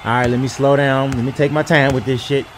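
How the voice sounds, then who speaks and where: A man's voice: medium pitch, level tone, fast, background noise. A man doing a profane comedic sports voiceover in slangy, emphatic, mock-serious speech.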